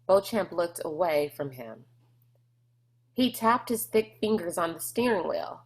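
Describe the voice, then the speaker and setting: A woman's voice: fairly low pitch, expressive, measured pace. A woman narrating a children's novel aloud in a scripted storybook style.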